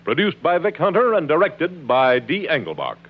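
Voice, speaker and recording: A man announcing credits in a booming, theatrical 1950s radio style. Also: huge pitch swings, normal speed, slightly rough. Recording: tinny narrow-band 1950s radio recording.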